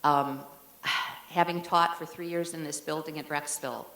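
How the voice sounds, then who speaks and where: Earnest low-voiced woman in her fifties. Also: steady tone, fairly bright tone. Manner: earnest, measured, with fillers. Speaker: community member asking a question. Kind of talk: public comment at a meeting. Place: large meeting room. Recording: echoey meeting room.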